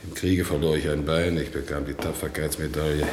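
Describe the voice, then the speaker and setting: An actor playing a worn, bitter, defiant old war veteran in a German radio play, speaking in a brooding, deliberate way. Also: male voice, extremely low pitch, flat delivery, a little mellow, a little hiss or hum. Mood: bitter, questioning. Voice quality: gravelly, husky. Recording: 1960s radio play recording.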